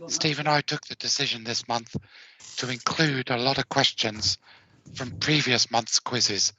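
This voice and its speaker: A man's voice: mid-range voice, measured pace, sharp tone, husky, poor audio. A man who co-runs an online quiz, teasing the players in a dry manner. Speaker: quiz co-organizer. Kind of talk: casual chat on a video call.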